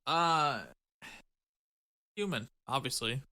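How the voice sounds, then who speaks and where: A man with a bright, crisp-edged tone chats as a podcast co-host. His delivery is informal, wry and full of joking asides. He is recorded dry, with no room sound. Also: medium pitch, animated, slow pace, no room sound. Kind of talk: casual banter.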